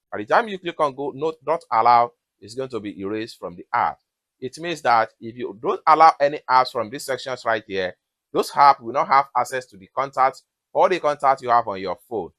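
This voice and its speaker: A man with a mid-pitched voice narrates a step-by-step tutorial. He gives instructions one at a time, often repeating his phrasing. The sound is dry and close to the mic. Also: fairly bright tone, dry recording. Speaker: tutorial host.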